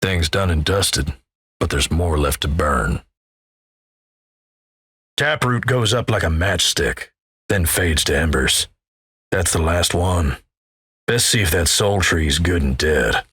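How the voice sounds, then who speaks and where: A man playing a gruff, folksy, wry Old West narrator in a video game, with a gravelly American Western drawl and measured, ominous storytelling. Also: deep voice, expressive, fairly bright tone, no room sound, clean studio sound, heavily acted. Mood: portentous. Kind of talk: dramatic second-person scripted game narration.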